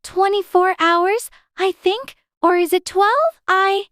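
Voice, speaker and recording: A woman's voice: extremely high pitch, slow, fairly bright tone, no echo, clean studio sound, exaggerated voice. A woman voicing an anxious, polite, know-it-all little girl in a dramatized storybook audiobook. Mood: unsure.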